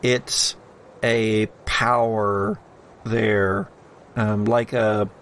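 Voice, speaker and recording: A man working as game master, narrating a tabletop horror game in a measured, dramatic way with deliberate pauses. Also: mid-range voice, a little hiss or hum.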